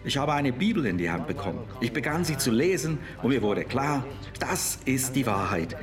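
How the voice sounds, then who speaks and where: A man's voice: medium pitch, big pitch swings, moderate pace. A man giving an earnest voice-over translation of a personal testimony. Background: music.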